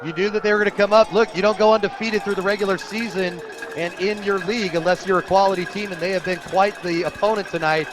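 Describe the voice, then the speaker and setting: An American man doing live radio play-by-play commentary of a football game. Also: high voice. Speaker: play-by-play announcer. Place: outdoor high school football stadium. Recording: loud crowd behind, outdoor stadium broadcast. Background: crowd noise.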